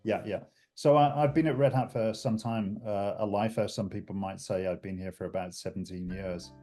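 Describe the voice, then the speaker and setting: A man's voice: on the low side, fairly even intonation, moderate pace, mellow, soft background music. A middle-aged man from the UK with a British accent, talking reflectively as a podcast guest, with many fillers and restarts. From United Kingdom. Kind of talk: conversational interview.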